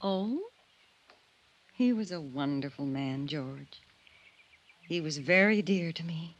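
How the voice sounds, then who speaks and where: An actress playing a gentle, delicate middle-aged schoolteacher in mourning, hesitant and wistful, in a 1950s radio drama. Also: female voice, low voice, expressive, takes it slowly, highs cut off, exaggerated voice.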